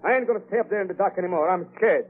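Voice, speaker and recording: A man's voice: sits high, rapid-fire, over music, close to an everyday voice. An actor playing a nervous, frightened henchman who speaks broken simple English in a 1930s radio crime drama. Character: jumpy, superstitious male henchman, scared of the dark. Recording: muffled scratchy 1930s radio recording.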